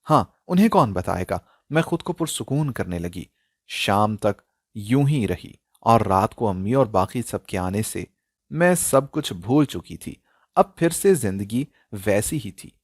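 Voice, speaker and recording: A man narrating a scripted dramatic story in an emotional, expressive style. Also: fairly low pitch, unhurried, no echo, clean studio sound.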